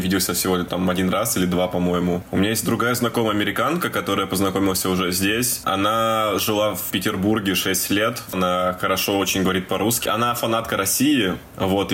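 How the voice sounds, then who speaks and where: A man's voice: low voice, fairly bright tone. A man from Russia, a podcast guest, telling stories in casual, rambling talk. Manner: rambling, lots of fillers and restarts.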